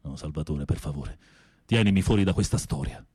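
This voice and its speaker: A deep, husky, tormented prisoner. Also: male voice, expressive, fairly quick, a little mellow, dry recording, exaggerated voice. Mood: frightened pleading. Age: middle-aged. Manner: pleading, poetic, theatrical delivery. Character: tormented, fearful, lovesick former teacher in prison. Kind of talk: intimate radio drama acting.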